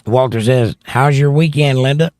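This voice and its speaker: A man's voice: mid-range voice, normal speed, darkish tone, no room sound. A man hosting a casual livestream, reading viewer comments aloud and chatting on about them into a close microphone. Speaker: host reading live chat. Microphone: close studio mic, held near the mouth.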